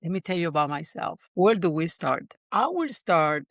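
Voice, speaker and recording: A woman's voice: on the low side, big pitch swings, moderate pace, dry recording, studio quality. Woman guest. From Puerto Rico. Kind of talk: storytelling interview.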